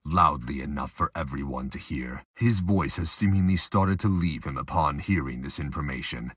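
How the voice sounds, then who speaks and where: Deep, husky male narrator. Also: normal speed, fairly bright tone, no room sound, studio quality. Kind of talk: scripted audio drama narration.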